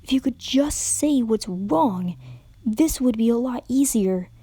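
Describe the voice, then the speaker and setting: A woman's voice: on the high side, expressive, takes it slowly, dark tone, breathy edge, no room sound, full character voice. A woman voicing a dazed young mare waking after an accident, confused and strained. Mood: frustrated.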